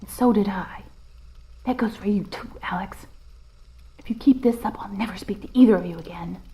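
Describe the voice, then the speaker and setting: A woman playing a dignified, grieving middle-aged mother and widow in an audio drama, earnest and quick to reproach. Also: medium pitch, warm tone, natural voice, rough recording. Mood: reproachful. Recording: music behind the voice.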